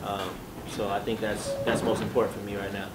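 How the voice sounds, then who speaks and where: A man's voice: on the low side, level tone, fairly quick. Emphatic man speaking in an echoey room. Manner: emphatic, repeats "you know what I'm saying". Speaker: panelist, music artist. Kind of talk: casual panel talk. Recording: echoey room, distant, clear background noise.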